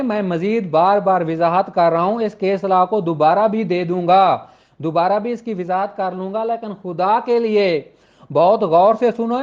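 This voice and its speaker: A man's voice: sits high, darkish tone. A man giving an informal explanatory law lecture, speaking emphatically and repeating points for clarity. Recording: dull, lo-fi audio.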